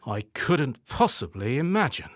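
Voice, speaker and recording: A henpecked husband in a radio play. He sounds weary and resigned, speaking slowly with a moving pitch, recorded dry. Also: very animated, no room sound.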